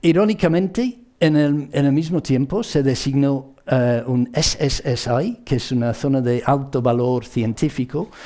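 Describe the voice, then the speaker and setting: A man from the Bristol area of England with a British accent, a native English speaker, gives a public presentation in a halting way, often repeating himself as he searches for words. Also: medium pitch, dark tone. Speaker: conference speaker.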